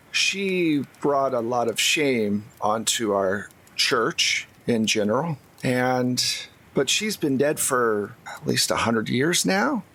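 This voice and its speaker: A man voicing a stern, weary Mother Superior in a tabletop game, with a deliberate, dismissive manner. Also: medium pitch, fairly bright tone, heavily acted. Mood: stern, disapproving. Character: stern sighing old nun, a Mother Superior.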